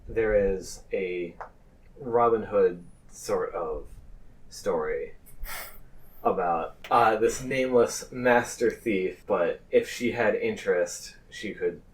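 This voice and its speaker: A man narrating a tabletop roleplaying game as game master, pausing between phrases. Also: lowish voice, far from the mic, low-quality recording.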